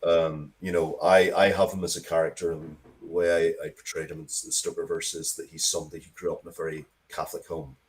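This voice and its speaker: A man's voice: low-pitched, level tone, fast talker, few pauses. A man speaking as an interview guest, telling stories in an emphatic, rambling way with frequent restarts. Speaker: guest, writer of Dracula media. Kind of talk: animated interview talk, storytelling.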